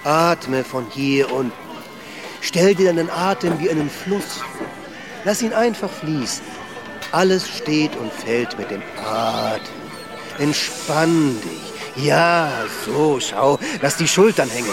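An actor in a radio play voicing a boisterous, cynical travelling player with a theatrical, mocking manner. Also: male voice, on the high side, animated, slow pace, over music. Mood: coaching, slow. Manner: theatrical, mocking, swinging from hushed to ranting. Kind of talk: radio drama acting.

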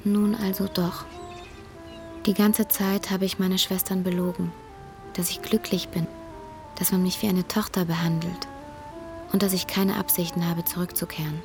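An actress plays a grown foster daughter looking back with a bitter, reflective air. Her lowish voice stays very even in melody. She narrates in standard German over clear music. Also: normal speed, long pauses, close to an everyday voice. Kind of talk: dramatic first-person narration.

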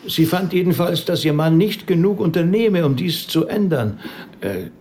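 An actor playing a polite, hesitant rabbi in a naturalistic radio drama, halting and deferential. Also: male voice, fairly high pitch, expressive, a little mellow, natural voice. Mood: uneasy, hesitant. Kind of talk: naturalistic German-language radio drama acting.

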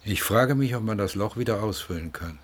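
An actor playing a grieving middle-aged father in a radio play, musing haltingly and plainly about his loss. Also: lowish voice, breathy edge. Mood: wistful. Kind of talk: interview-like monologue in a radio play.

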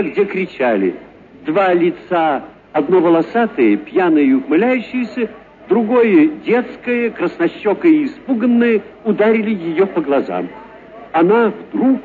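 A man narrating a literary story for radio, dramatically and expressively, drawing out certain words. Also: high-pitched. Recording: thin, narrow-band radio sound.

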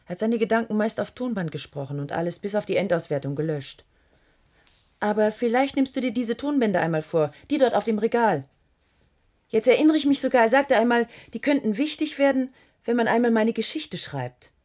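A female actor playing a gentle, reflective woman in a radio play. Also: fairly high pitch, animated, brisk. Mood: reflective, helpful. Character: gentle, reflective woman recalling a man's past. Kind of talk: German science-fiction radio play acting.

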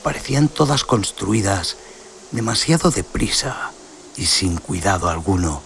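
Deep, gravelly male storyteller, slow and brooding. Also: expressive, background noise. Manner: brooding, deliberate, theatrical, with hushed dips. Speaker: audio story narrator. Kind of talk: dramatic scripted narration of a western horror tale. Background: cinematic sound design and music.

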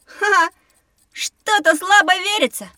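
A woman's voice: very high-pitched, unhurried, sharp tone, no room sound, clean studio sound, full character voice. A woman voicing a cheeky young schoolboy who dislikes studying, childlike and grumbling, in a children's audio drama. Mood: curious, boastful.

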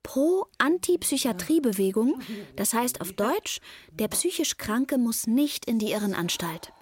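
A woman's voice: fairly high pitch, very animated, a little slow, a little mellow. A woman playing a naive, earnest young child who narrates a radio drama in a plain, matter-of-fact way.

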